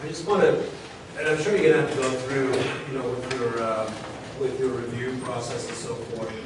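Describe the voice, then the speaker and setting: A man's voice: medium pitch, fairly even intonation, brisk. A man on a board asking a developer questions at a public meeting, hesitating often with "um". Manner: hesitant, lots of "um" while asking. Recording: echoey hall from far off, clear noise.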